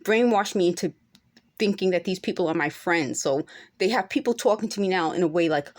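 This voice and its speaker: A woman's voice: on the low side, a little fast, a little mellow. A woman in her forties talking straight to camera in an unscripted monologue, earnest and insistent, now and then rising to emphatic peaks. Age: 40s. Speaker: vlogger.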